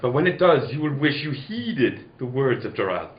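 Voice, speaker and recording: A man's voice: mid-range voice, sing-song, normal speed, lightly acted. Man acting a solemn alien doom prophet, sweeping delivery. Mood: indignant, ominous. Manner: declamatory, solemn, stumbling over the script. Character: grave male alien scientist, pompous prophet of planetary doom. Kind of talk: 1940s radio serial acting. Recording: roomy, muffled, some background noise.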